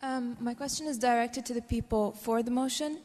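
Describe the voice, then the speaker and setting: Female audience member, slightly high pitch, measured questioning, hall reverb. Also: warm tone.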